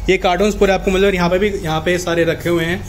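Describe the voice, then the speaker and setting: A man's voice: high voice, steady tone, racing. A man hosts a casual promotional chat, repeating points back for emphasis. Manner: echoes and repeats prices. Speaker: host and interviewer. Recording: faint background noise.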